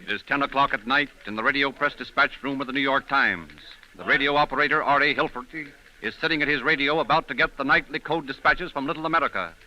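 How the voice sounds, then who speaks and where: A man's voice: mid-range voice, fast, pushed and bright, slight background noise. A man narrating dramatically in the style of a 1930s radio show. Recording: dull 1930s radio recording, music behind. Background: music.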